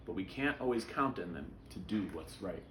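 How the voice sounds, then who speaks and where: A man's voice: sits low, animated, moderate pace, echoey room, background noise. A man voicing a firm, serious, principled storybook father who explains things plainly.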